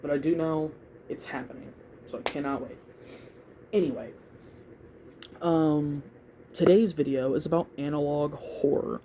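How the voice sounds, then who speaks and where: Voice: medium pitch, fairly even intonation, measured pace, pauses often, noisy background. A vlogger of unclear gender rambling casually through opinions, thinking aloud and repeating words in a hesitant way. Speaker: YouTube video creator giving opinions.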